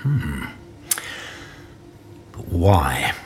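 An actor playing a deliberate, suspicious spymaster boss in an audio drama. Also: male voice, a bass voice, very animated, slight background noise, full character voice. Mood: pondering.

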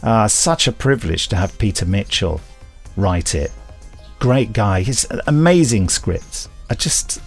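A man's voice: on the low side, animated, unhurried, soft background music. A man co-hosting a podcast, playful and enthusiastic in casual chat. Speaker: podcast co-host and actor.

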